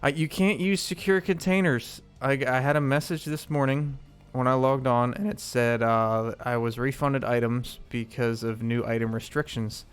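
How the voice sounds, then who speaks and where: A man's voice: medium pitch, steady tone, moderate pace, hardly any pauses, clean tone, soft background music. A man streaming a video game live, chatting casually with viewers, rambling with lots of fillers as he loots and sorts gear.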